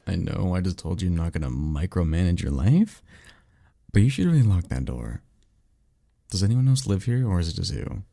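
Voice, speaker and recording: A blunt, sarcastic male vampire mentor played by a man for an audio roleplay. The voice sits low with a crackly fry and moves around expressively. The delivery is casual and exasperated, with conversational hesitations. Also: sing-song, normal speed, mellow, no echo, studio quality, full character voice. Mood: casual, curious. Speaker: voice actor. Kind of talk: ASMR roleplay audio drama, one-sided conversation.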